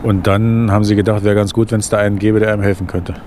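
A man hosting a radio interview show, asking questions in a brisk, conversational way. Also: fairly low pitch, little pitch movement, darkish tone, a little hiss or hum.

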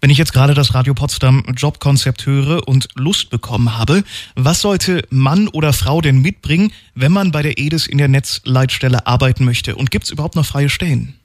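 A man's voice: mid-range voice, normal speed, no room sound. A man hosting a radio interview in a studio, with a polished, upbeat presenter delivery. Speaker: radio host.

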